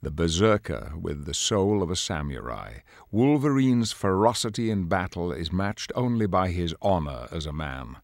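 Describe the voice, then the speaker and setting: A man's voice: low voice, expressive, moderate pace, gravelly, few pauses, no echo, studio quality. A man playing a grave, dignified leader of superhero mutants, delivering solemn, portentous dramatic narration for a video game. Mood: grave, measured. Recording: music under the voice. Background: music.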